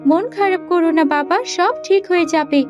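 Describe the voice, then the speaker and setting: A woman's voice: very high voice, fairly quick, over music. A woman voicing a cheerful, helpful little village daughter in a fairy tale cartoon. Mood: consoling.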